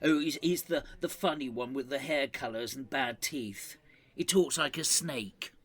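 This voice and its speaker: An actor playing an anxious, sentimental old care home resident in an audio drama, with a husky, halting delivery. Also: male voice, mid-range voice, fairly bright tone, natural voice. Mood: animated. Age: older. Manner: hesitant, halting.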